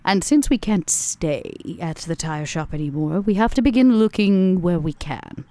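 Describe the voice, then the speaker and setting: Female vampire schemer played by a woman at a game table: deep for a woman, dark timbre, commanding, formal, deliberate. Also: lively intonation, no echo, natural voice. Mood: assured, scheming. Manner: formal, deliberate, emphatic phrasing.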